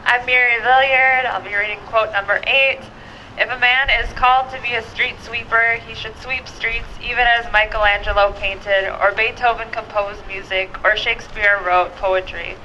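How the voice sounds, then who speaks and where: A woman's voice: fairly high pitch, fairly even intonation, normal speed, sharp tone. A woman reading a quotation aloud at a public event. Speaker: quote reader at event. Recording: dull sound, faint traffic noise.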